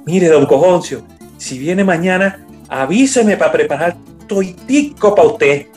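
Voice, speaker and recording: A man's voice: high-pitched, expressive, unhurried, a little mellow, faint music behind. A man acting a folksy, loyal working man with a colloquial Venezuelan accent in a radio soap opera. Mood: eager.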